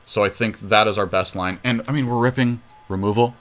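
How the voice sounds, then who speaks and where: A man's voice: on the low side, steady tone, a little fast, fairly bright tone. A man chatting casually as he thinks aloud through a card game, analytical and loose with his language. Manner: analytical, talks through each play, casual swearing. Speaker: podcast co-host playing a card game.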